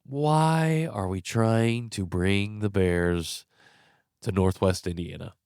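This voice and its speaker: A man's voice: lowish voice, takes it slowly, darkish tone, smooth, no echo, studio quality. A young man hosting his own podcast, stuffed up from a cold, talking frankly and rambling a little in a tired way. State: stuffed up, congested. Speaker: podcast host. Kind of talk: casual solo commentary. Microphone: close podcast mic.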